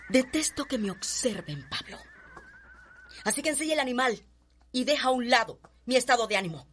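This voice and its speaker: An actress playing a haughty, headstrong young planter's daughter in a radio drama, with a Cuban accent and an imperious, cutting manner. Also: female voice, on the high side, animated, a little fast, a bit bright, a little hiss or hum. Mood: imperious, irritated.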